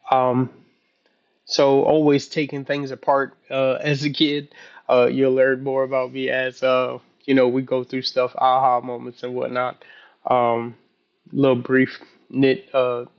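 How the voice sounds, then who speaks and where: A man in his early thirties talking casually in a halting, rambling way, as if keeping a personal video journal. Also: medium pitch, completely flat delivery, gravelly, lo-fi sound. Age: early 30s. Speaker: vlogger.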